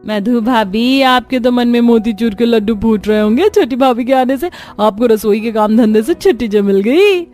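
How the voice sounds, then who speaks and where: A woman's voice: on the high side, normal speed, hardly any pauses, a little mellow, faint music behind, natural voice. A woman voicing a lazy, teasing young sister-in-law in a cartoon, pert and whining.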